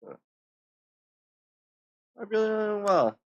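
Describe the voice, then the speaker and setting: An American man chatting casually on a gaming livestream, subdued and halting, a little under the weather with a sore throat. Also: high-pitched, big pitch swings, dry recording, muffled recording. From United States. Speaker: livestreamer, VTuber. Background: music.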